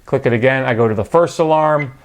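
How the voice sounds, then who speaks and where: A man's voice: medium pitch, fast talker. A man with a mid-range voice gives an informal product walkthrough. He speaks in a conversational, matter-of-fact way, explaining as he goes. The delivery is unscripted and plain. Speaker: product reviewer. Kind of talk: casual unscripted product review narration.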